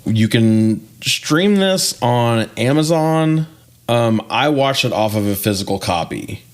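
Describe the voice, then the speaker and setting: A man's voice: mid-range voice, lively intonation, slow. A man in his forties co-hosting a casual movie podcast, chatting in a slangy, joking, mildly profane way. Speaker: podcast co-host.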